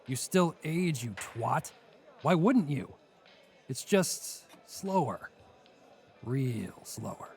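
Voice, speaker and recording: A man playing a world-weary, menacing prison inmate in an audio drama sketch, speaking in a deliberate, scornful, taunting way. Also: medium pitch, very animated, vocal fry, soft background music, full character voice. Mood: grim, menacing. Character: mocking, world-weary male prison bunk mate, menacing.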